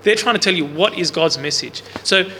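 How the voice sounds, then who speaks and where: A man with a loud, bright, slightly high voice teaches a Bible class in a big room, with no microphone. He explains casually and keeps putting questions to the group. The recording carries a long hall reverb and a faint hiss underneath. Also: moderate pace. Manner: loud voice, speaks without a mic. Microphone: no mic on the speaker, recorder in the room.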